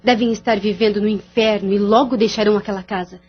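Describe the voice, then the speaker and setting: A woman's voice: medium pitch, steady tone, slightly rough, highs cut off, soft background music, natural voice. A woman acting a scheming, seductive and cruel mistress in an audio soap opera, with a taunting, mocking drawl. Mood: mocking, prying. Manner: taunting, drawn-out, laughing scornfully.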